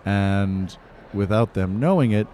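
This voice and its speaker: A man from Pennsylvania, a curator, answering interview questions in a measured, earnest way. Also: lowish voice, warm tone, slight background noise. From Pennsylvania, USA.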